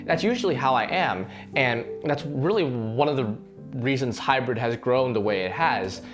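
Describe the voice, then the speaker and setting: A slightly hoarse man talks to camera with an animated, lively pitch that swings up and down. He sounds earnest and a bit rambling, restarting his sentences often. A faint guitar plays softly underneath. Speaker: fitness channel host. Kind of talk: casual talking-to-camera reflection.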